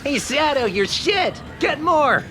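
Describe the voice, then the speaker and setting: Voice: high voice, big pitch swings, takes it slowly, over music, exaggerated voice. A man voicing a video game character who calls out to another character. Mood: excited.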